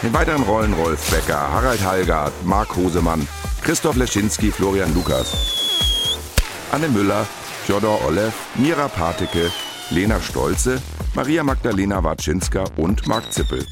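A man's voice: sits low, very animated, moderate pace. A man reading scripted broadcast credits as an announcer. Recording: loud electronic music behind. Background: loud electronic music.

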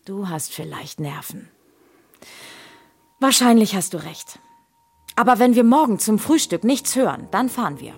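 An actress playing a worried middle-aged mother in a children's radio play, husky, reproachful and sarcastic. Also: mid-range voice, very animated, unhurried, long pauses, full character voice. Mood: grudging. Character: worried, sharp-tongued mother of a schoolboy.

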